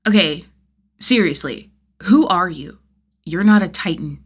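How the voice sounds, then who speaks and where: A woman's voice: on the low side, animated, unhurried. A woman voicing a weary, battered male outlaw in an audiobook story, blunt but protective. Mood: weary, probing. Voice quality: rasping. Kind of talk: acted audiobook dialogue.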